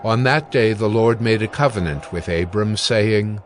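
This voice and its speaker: A man narrating scripture from a script in a measured, formal reading manner. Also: on the low side, background noise.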